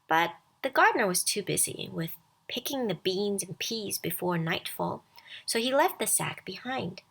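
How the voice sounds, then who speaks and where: A woman reading a scripted children's bedtime story aloud as storyteller, expressive and deliberate. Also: mid-range voice, creaky, husky.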